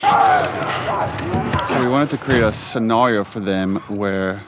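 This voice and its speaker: A man's voice: lowish voice, wildly swooping pitch, slow pace, a crowd in the background, noisy background. A man giving conversational director's commentary, explaining things in an analytical way.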